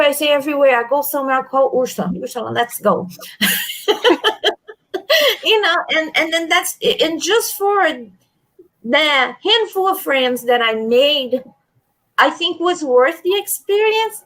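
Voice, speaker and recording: A high-pitched woman chats with emphatic repetition and frequent restarts. Her accent comes from a stroke and gives her speech a halting, uneven quality. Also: lively intonation, fairly bright tone, low-quality recording. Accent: non-native, from a stroke. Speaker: livestream guest. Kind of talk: casual chat.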